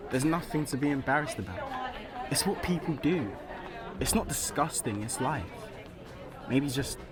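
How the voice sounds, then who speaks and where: A man's voice: medium pitch, natural voice. Young male friend, played by a man, casual, reassuring, cheeky, naturalistic radio play, noisy outdoor setting.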